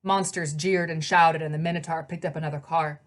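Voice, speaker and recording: Low-pitched woman reading a story aloud. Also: fairly even intonation, fairly quick. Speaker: read-aloud narrator. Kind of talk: scripted storybook narration.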